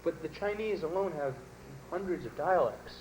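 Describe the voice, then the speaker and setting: A teenage boy on a school TV panel who asks quick, stammering questions. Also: a little high, animated. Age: teens. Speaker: student panelist. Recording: noisy 1980s school videotape.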